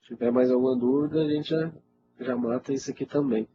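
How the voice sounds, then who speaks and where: Casting: Brazilian male IT instructor, congested, casual Q&A delivery, medium pitch, muffled noisy webinar audio. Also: steady tone, brisk. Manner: informal, conversational, frequently checks in with listeners. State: congested with a cold. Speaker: IT instructor answering webinar questions. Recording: dull lo-fi webinar audio, loud noise.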